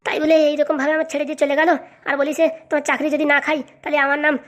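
A woman's voice: high-pitched, little pitch movement, very fast, fairly bright tone. A woman voicing a gloomy, complaining jilted husband in a comic cartoon. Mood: despairing. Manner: fretful, complaining. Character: gloomy, jilted young husband fretting over his job.

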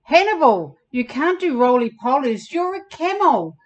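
Woman as a busy little dung beetle, high-pitched. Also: very animated, unhurried, dull audio. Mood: busy, blunt.

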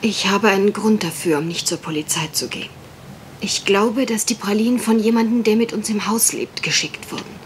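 Actress as a genteel, worried young country heiress. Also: female voice, medium pitch, normal speed, a little hiss or hum, lightly acted. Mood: worried, confiding. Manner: polite, hesitant, well-spoken. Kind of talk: German radio mystery play acting.

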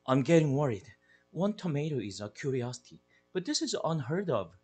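A man with a husky, breathy voice reading a storybook, playing a fretful middle-aged mother who talks anxiously in raised tones. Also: sing-song, moderate pace, darkish tone, faint music behind. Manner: anxious, breathless, rising pitch.

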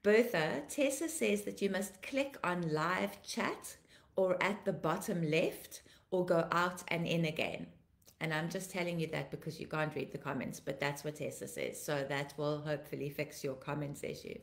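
A woman in her fifties, a pastor, sounding tired and a little croaky, warmly greeting viewers by name and praying fervently on a home live stream. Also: low voice, normal speed, roomy sound. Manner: fervent praying, repeating declarations. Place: living room at home, indoors. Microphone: laptop webcam, video call framing.

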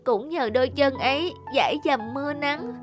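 A woman voicing a devoted, earnest teenage student from a poor family in an animated moral tale, polite and youthful in manner. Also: sits high, normal speed, over music, lightly acted. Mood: heartfelt.

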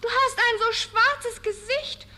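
A woman's voice: very high voice, fairly even intonation, takes it slowly, pushed and bright, exaggerated voice. An actress playing an innocent, polite little girl in a fairy-tale radio play. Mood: polite, curious. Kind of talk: 1970s German fairy-tale record acting. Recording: noisy old vinyl record.

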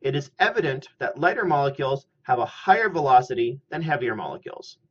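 A middle-aged man giving a scripted chemistry lecture at a steady, methodical pace, recorded on a webcam in a small room. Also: mid-range voice, slightly rough. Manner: measured, methodical explaining. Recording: slightly muffled lo-fi audio.

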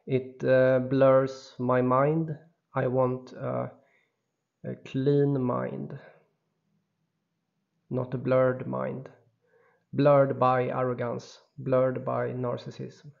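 Slow, gravelly Swedish-accented man. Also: mid-range voice, completely flat delivery, a little mellow, muffled recording. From Sweden. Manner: halting and insistent, with many restarts. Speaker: video creator. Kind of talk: monologue voice-over.

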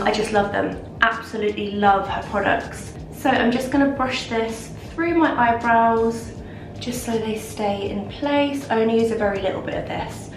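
Nasal, congested young woman chatting. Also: medium pitch. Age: young adult. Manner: chatty, gushing, many fillers. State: out of breath. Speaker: lifestyle vlogger. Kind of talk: casual makeup tutorial chat. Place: home bathroom, indoors. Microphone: camera a little way off. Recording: roomy bathroom echo, distant mic, background hiss.

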